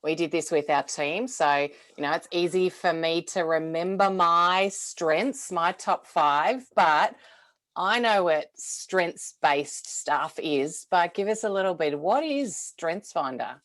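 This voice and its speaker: A woman's voice: fairly low pitch, animated, takes it slowly, a bit bright. A woman hosting a conversational interview over a video call, friendly and enthusiastic. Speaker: host.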